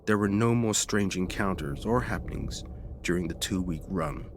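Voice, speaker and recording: Deep-voiced man narrating with animated range. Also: moderate pace, noisy background. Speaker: story reader. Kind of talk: scripted first-person ghost story narration.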